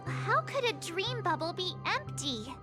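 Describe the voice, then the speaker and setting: A woman voicing a small, childlike, excitable mascot creature in a video game, with a cutesy, exclamatory delivery. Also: very high-pitched, a little slow, exaggerated voice. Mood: surprised, puzzled. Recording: background music under voice.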